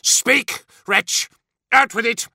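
A man's voice: very high-pitched, slow, vocal fry, sharp tone, dry recording, studio quality, pitched above the performer's own voice, heavily acted. A man voicing a vain, imperious superhuman warlord in an audiobook, theatrical and wrathful, with spitting, snarling delivery. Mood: imperious fury. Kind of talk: dramatic audiobook character voice.

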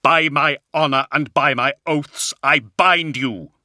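Fierce warrior knight acted by a male narrator: commanding, defiant, declaimed incantations, raised pitch, forceful edge. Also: slow pace, no room sound, clean studio sound, heavily acted. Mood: solemn binding.

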